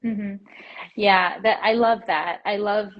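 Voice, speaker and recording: A woman's voice: mid-range voice, fairly even intonation, slow pace, highs cut off. A woman hosting an interview podcast, talking in a casual, affirming way with frequent fillers and restarts. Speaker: podcast host, dietitian. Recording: intro over music.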